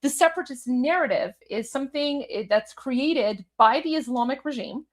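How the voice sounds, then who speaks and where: A woman with a Toronto accent hosting a live show, commenting on a clip in an animated way, repeating her points with many fillers. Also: sits high, normal speed. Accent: Canadian, Toronto. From Canada. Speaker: host.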